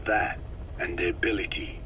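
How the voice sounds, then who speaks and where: An actor voicing a frail, regretful old scientist in a video game, speaking in hushed, halting, formal phrases with a breathy voice. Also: male voice, deep voice, fairly even intonation, a little hiss or hum, rough recording. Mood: grave, explanatory. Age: older. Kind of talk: dramatic video game dialogue, confessional monologue.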